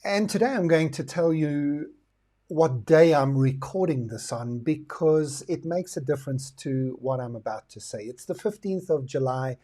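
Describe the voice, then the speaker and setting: A man's voice: a little high, moderate pace, dark tone. A middle-aged South African man hosting a talk, explaining his views in a reflective way. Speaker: host. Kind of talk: talk to camera on current affairs and the future.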